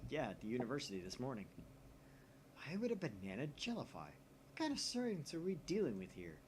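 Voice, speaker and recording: A man's voice: medium pitch, lightly acted. Man voicing young guy from a visual novel, argumentative, dry, dialogue read aloud, faint noise.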